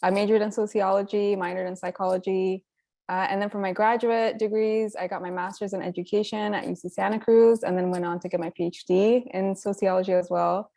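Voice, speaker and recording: A woman from California, a new professor, introducing herself on a panel in a relaxed, conversational way. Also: medium pitch, fairly quick, gravelly, no echo. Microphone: video call.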